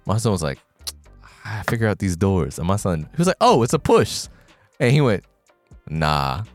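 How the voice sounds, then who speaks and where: A man's voice: lowish voice, big pitch swings, normal speed, no echo, soft background music. A man co-hosting a podcast in casual chat, swearing freely and acting out scenes he recaps. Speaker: podcast co-host.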